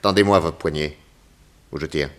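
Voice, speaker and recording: A man's voice: extremely low pitch, moderate pace, a bit bright. A man playing a confident detective in a 1960s French radio drama, speaking in a theatrical, emphatic, methodical way. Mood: triumphant, assured. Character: confident male detective laying out an accusation.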